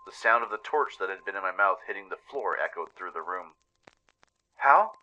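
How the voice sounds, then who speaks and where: A man narrating a scripted first-person story dramatically, with deliberate emphasis. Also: low-pitched, pushed and bright, low-quality recording.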